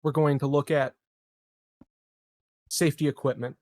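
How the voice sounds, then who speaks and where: A man playing an earnest, resolute colony politician in a tabletop game, giving a slow, deliberate public speech. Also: on the high side, level tone, a little mellow, no echo, close to an everyday voice. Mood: solemn, resolute.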